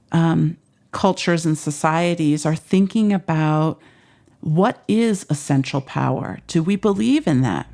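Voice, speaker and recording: Low-voiced, thoughtful woman scholar. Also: a little mellow. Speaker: Shakespeare scholar guest. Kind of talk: conversational academic commentary.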